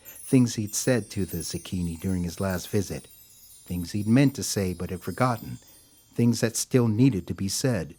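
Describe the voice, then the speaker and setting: Man, lowish voice, narrator, scripted fiction reading, audio drama storytelling. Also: a little mellow.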